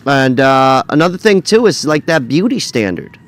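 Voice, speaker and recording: A man's voice: medium pitch, very animated, moderate pace. A man in his thirties talking in a casual vlog, chatty, blunt and joking. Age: 30s. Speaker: video creator.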